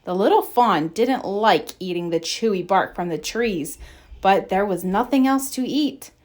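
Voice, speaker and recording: A woman reading a children's story aloud in an expressive, deliberate storybook narration style. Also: medium pitch. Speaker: storybook reader.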